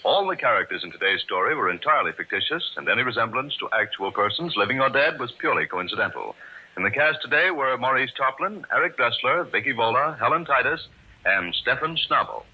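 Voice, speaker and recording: A man's voice: mid-range voice, lively intonation, brisk, slightly rough. A man announcing in a formal, scripted 1940s radio style. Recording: dull old radio recording with music.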